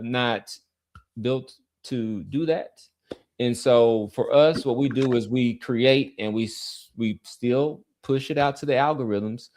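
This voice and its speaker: A man hosting a sports podcast in a casual, conversational way, rambling a little and pausing to search for words. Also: mid-range voice, darkish tone. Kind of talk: casual sports chat and community sign-off.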